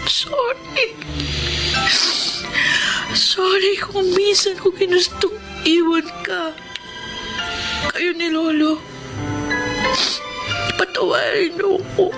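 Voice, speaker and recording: A very high-pitched, emotional teenage girl in a radio melodrama. She pleads tearfully and earnestly, with music playing behind her. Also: extremely slow, pauses often, full character voice, rough recording. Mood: tearful remorse. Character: emotional eighteen-year-old daughter, remorseful and grateful. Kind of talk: melodramatic radio drama acting.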